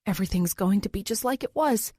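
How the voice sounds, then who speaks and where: Woman narrator as adult male captor, coaxing, fond, insistent, animated intonation, audiobook thriller. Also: mid-range voice, quick pace, dry recording, studio quality, natural voice. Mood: eager, possessive. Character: creepy, eager, doting adult male captor. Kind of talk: audiobook character dialogue.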